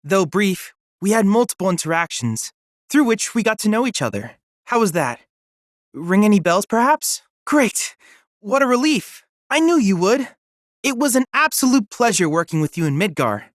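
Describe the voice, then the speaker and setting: An actor voicing a polite, eager teenage cyborg research assistant in a video game, speaking formally, precisely and cheerfully. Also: male voice, high voice, sing-song, moderate pace, no echo, clean studio sound. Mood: chipper, courteous. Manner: formal, precise, courteous, chipper. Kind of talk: scripted video game character dialogue.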